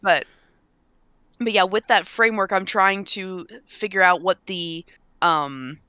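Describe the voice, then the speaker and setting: A woman's voice: medium pitch, steady tone, unhurried, bright, forceful tone, smooth, no echo. A slightly congested woman talking casually as a panelist in a video game discussion. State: mildly congested.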